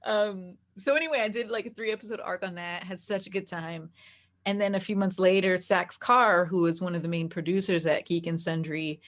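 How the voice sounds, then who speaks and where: Lower-voiced woman, chatty interview guest. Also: brisk. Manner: animated storytelling that acts out quoted dialogue, frequent "like". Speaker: interview guest, game master.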